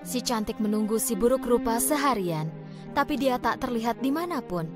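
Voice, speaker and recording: A woman narrating a children's fairy tale in an expressive, scripted storytelling style. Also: a little high, normal speed. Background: background music.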